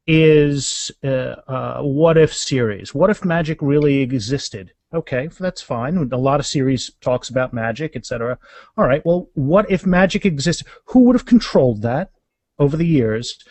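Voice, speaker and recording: A man's voice: medium pitch, animated, a little slow, darkish tone, no echo. A man of about fifty chatting casually and enthusiastically as a guest author in a podcast interview, rambling as he goes.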